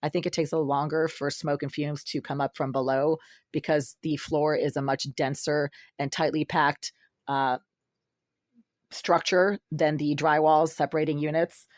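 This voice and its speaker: Low raspy woman, intense and accusing. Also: flat delivery, normal speed, no echo, clean studio sound. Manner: intense, accusing, long run-on sentences. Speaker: vlogger. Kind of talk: solo monologue to camera. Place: her apartment, sitting in the dark.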